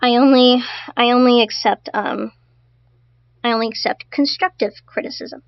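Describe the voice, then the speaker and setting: A woman's voice: fairly high pitch, fairly even intonation, moderate pace, dry recording, muffled recording. A woman streaming casually to her chat, stuttering often and repeating her words. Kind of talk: casual live-stream commentary.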